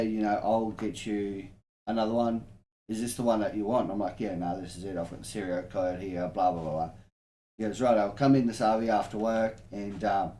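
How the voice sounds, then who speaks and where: A man with an Australian accent and a lowish voice talks casually, like he is telling mates a story. His language is blunt, slangy and full of swearing. The sound is echoey, with faint noise behind him. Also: warm tone. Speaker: podcast co-host. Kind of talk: casual anecdote telling among friends. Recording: roomy echo, faint background noise.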